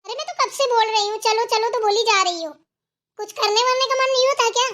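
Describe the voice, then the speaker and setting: A woman puts on a spoiled young city bride for a cartoon family drama. The voice is piercingly high and bright. She argues back with whiny, entitled defiance and expects to be served. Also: fairly quick. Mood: demanding.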